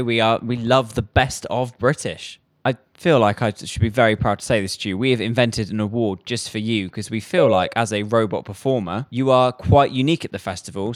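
A man hosting a radio show, chatting in a playful, upbeat way. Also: mid-range voice. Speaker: radio host. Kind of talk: casual chat. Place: festival radio base.

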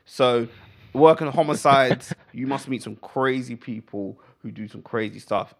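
British bloke, comedian chatting away, creaky gravelly voice, slangy and animated. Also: male voice, mid-range voice, a little slow. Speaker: comedian guest. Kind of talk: casual chat, telling anecdotes.

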